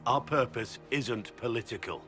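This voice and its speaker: An actor playing a gruff, seasoned warrior recruiter in a fantasy game, speaking in a blunt, earnest, plain-spoken way. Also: male voice, mid-range voice, normal speed, fairly bright tone, natural voice. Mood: startled, defensive. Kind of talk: dramatic fantasy game dialogue. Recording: background music under the voice.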